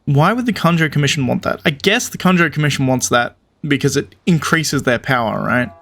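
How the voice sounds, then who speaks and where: A man's voice: a little high, animated, fairly quick, gravelly, a bit bright. Casual male gamer thinking aloud. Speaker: gamer commenting on a playthrough. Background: game music.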